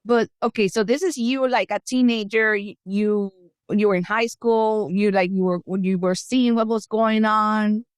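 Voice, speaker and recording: A woman hosting a podcast, talking in a lively, chatty way as she switches back and forth between Spanish and English. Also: mid-range voice, a little slow, smooth, no echo. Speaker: podcast host. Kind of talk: casual interview, mixing Spanish and English.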